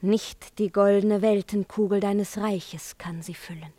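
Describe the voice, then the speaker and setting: A woman playing a seductive witch and spurned lover in a theatrical verse radio play, breathy and often whispering. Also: medium pitch, fairly even intonation, dark tone, dry recording, natural voice. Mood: seductive yearning. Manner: slow, incantatory, yearning delivery. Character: seductive witch, the emperor's jilted lover, pleading and taunting.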